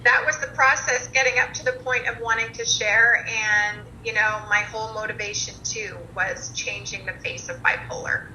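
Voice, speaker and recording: Woman guest, very bright voice, hesitant with many ums, echoey room. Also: a little high, level tone, normal speed, few pauses. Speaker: podcast guest. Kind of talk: casual conversational storytelling. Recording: echoey room with faint noise.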